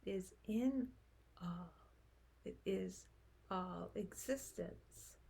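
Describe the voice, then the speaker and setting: A woman leading a guided meditation in a soft, breathy voice, speaking gently in short phrases with long pauses. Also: mid-range voice, slight background noise. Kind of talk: guided meditation, slow unfolding instruction.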